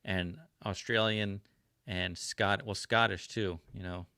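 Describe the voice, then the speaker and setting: A man's voice: deep voice, steady tone, slow, bright, forceful tone, clean tone. An American man hosting a livestream podcast, chatting casually and asking curious questions with plenty of ums and uhs. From United States. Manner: curious, asks lots of questions, filler-heavy. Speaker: podcast host.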